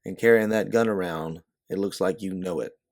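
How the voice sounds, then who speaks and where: A man's voice: deep voice, moderate pace, darkish tone. A man voicing a blunt, watchful, folksy doorman in a story, talking plainly and protectively. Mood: ominous, warning. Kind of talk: second-person storybook narration, character dialogue.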